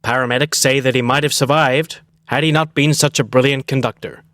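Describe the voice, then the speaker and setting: A man playing a mock-serious evening news anchor in a comic storytelling show, formal and deadpan in delivery. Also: mid-range voice, a little fast, clean studio sound, close to an everyday voice. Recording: echoey hall, noisy background.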